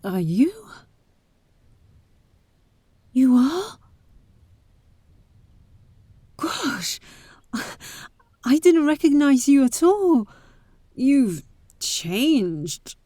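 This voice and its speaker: A bold adventurer heiress played by a woman speaks slowly and close in a dry space. She is caring yet wary, with breathy asides and hesitant pauses, as in an audio roleplay aimed at the listener. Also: sits high, very animated, mellow, no room sound, heavily acted. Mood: surprised, tender.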